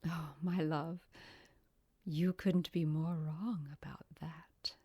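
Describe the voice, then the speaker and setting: An ancient, vengeful temptress. She draws her words out very slowly in a sultry, gloating manner, often slipping into breathy whispers. The style is supernatural radio drama. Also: sits low, fairly even intonation, darkish tone, exaggerated voice. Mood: seductive taunting. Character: vengeful, seductive ancient woman, former monster, gloating over rival. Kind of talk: audio drama acting.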